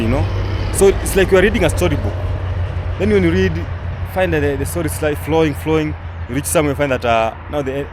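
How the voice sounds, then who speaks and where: A young man, a travel vlogger, giving casual commentary outdoors, rambling with many fillers and restarts. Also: medium pitch. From Kenya. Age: young adult. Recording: outdoor recording with traffic noise.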